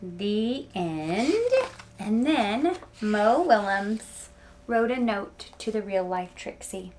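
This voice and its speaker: A woman teacher reading a picture book aloud to children, with animated emphasis and chatty explaining asides. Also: medium pitch, slow, slight background noise.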